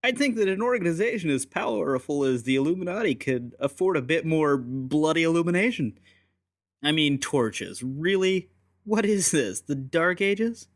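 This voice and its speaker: A man's voice: fairly high pitch, lively intonation, moderate pace, dry recording, clean studio sound. A man playing a sardonic, unflappable English secret agent, teasing and theatrical, in an audiobook drama. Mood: mocking. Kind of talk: full-cast audiobook acting.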